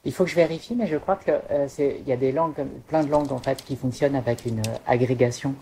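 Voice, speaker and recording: A podcast panelist with a creaky, middle-pitched voice chats informally. The delivery is hesitant, circling back over words. There is faint noise and clicking in the recording. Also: quick pace, dark tone. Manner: hesitant, repeats words while searching for phrasing. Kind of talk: casual panel chat.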